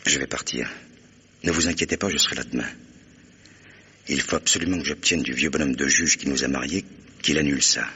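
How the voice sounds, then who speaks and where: An actor playing a stern, devoted husband in a French radio mystery play, speaking with formal, earnest authority. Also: male voice, fairly quick, pauses often, bright, forceful tone, full character voice. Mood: tender, grave. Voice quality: very deep, gravelly, husky. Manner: earnest, commanding, formal address. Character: stern, devoted new husband, protective and determined.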